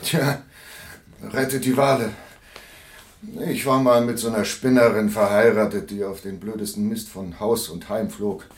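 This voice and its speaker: An actor in a German radio play, playing a fat, shady, wheedling Hollywood film agent who cajoles and hedges. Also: male voice, lowish voice, steady tone, normal speed, slightly rough. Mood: dry, sardonic.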